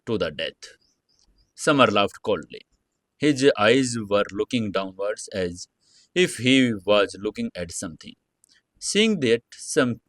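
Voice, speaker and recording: A man with a low voice narrates an audio story. His reading is dramatic and scripted, yet halting, with stumbles over words. The sound is dry, with little room around it. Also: fairly bright tone, no echo.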